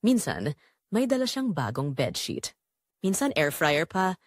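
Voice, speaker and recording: A woman's voice: fairly low pitch, wildly swooping pitch, a little slow, dry recording, clean studio sound, full character voice. A woman playing a betrayed married daughter, narrating her painful family secret in an emotive, confessional first-person style for an audio drama. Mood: uneasy, suspicious.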